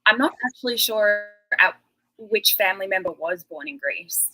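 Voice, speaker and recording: A woman's voice: mid-range voice, expressive, moderate pace, pushed and bright, poor audio. An Australian woman in her thirties, a guest chatting casually and laughing often. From Western Australia. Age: 30s. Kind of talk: casual interview chat.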